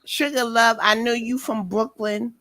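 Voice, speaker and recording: A woman's voice: a little high, fairly even intonation, unhurried, sharp tone, no echo. A woman on a casual chat panel, talking in slangy, dramatic exclamations. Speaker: panel guest.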